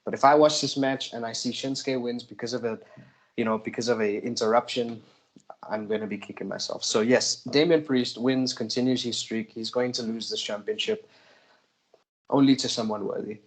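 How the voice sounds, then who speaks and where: A man's voice: medium pitch, steady tone, moderate pace. A man co-hosting a wrestling predictions podcast, thinking aloud as he weighs his picks. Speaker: podcast co-host. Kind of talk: casual wrestling predictions chat.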